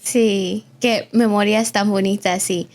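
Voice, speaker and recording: A woman's voice: mid-range voice, fairly even intonation, slow, a little mellow. A woman in her early twenties from Austin, Texas, hosting a conversational interview podcast in an effusive manner, with the odd English filler word. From Austin, Texas, of a Mexican family. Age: early 20s. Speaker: podcast host.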